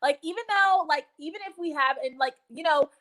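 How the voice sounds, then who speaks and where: A woman from Texas chatting casually in an animated, opinionated, emphatic way, swearing freely. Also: high voice, a little fast. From Texas, United States. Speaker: live stream host. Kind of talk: casual hangout chat.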